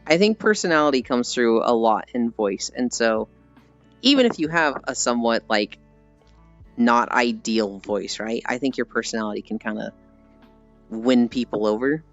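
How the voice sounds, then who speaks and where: Smooth-voiced American man, chatting casually. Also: mid-range voice, animated, moderate pace, a bit bright. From United States. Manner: rambling, self-deprecating, casually sweary. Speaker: livestreamer chatting with viewers. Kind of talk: casual livestream chat. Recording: slightly dull audio with music behind. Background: background music.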